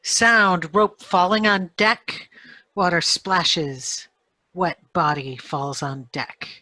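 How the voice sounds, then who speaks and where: Female script-cue reader: bright tone, unhurried, dry close sound. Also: fairly low pitch, no echo.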